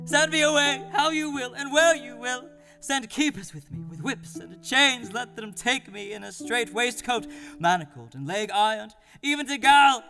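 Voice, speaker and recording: A man's voice: very high-pitched, animated, moderate pace, pushed and bright, full character voice. A man voicing a frantic male asylum inmate, begging desperately in a wailing, imploring way. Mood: pleading. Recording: echoey hall with music behind.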